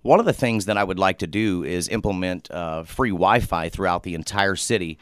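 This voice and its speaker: A low-pitched man, answering questions as a local mayoral candidate. His voice is smooth and resonant, recorded dry and close. He talks earnestly, stopping often with fillers and false starts. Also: a bit bright, no room sound. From Muncie, Indiana. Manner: earnest, halting, many fillers and restarts.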